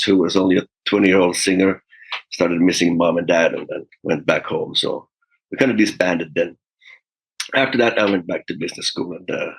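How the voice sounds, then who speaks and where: A man in his fifties, a guest musician, telling stories in a relaxed interview with frequent fillers and small laughs. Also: lowish voice, slightly rough, low-quality recording. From Finland. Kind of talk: casual interview talk.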